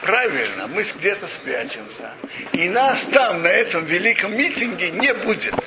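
A man's voice: high voice, moderate pace. A man giving a religious lesson, retelling an old story with rhetorical questions and frequent restarts. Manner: frequent restarts and self-corrections. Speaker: religious teacher. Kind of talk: Torah lesson retelling a midrash. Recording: steady background noise.

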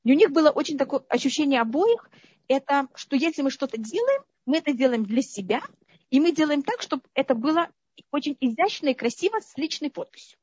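A high-pitched woman from the Soviet Union talks through her memories, often checking that her listeners follow. The sound is dry and dull, as if taken from a video call. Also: a little fast, dry recording. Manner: lively, keeps asking the listener "you understand?". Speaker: interview guest. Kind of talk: conversational storytelling.

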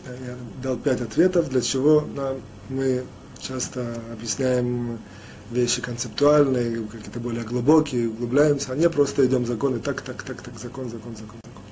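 Halting man giving a Torah lesson. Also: medium pitch, dark tone, a little hiss or hum. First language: Russian, rarely used in daily life. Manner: halting, many restarts and "э" fillers. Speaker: rabbi teaching a Torah lesson. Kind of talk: spoken Torah lecture.

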